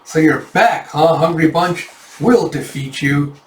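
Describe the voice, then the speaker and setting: A man voicing a bold, excitable village child with a pestering manner while telling a story. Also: fairly high pitch, slow pace. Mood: defiant. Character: cocky, excitable village child, eager little fighter. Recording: echoey room, distant from mic.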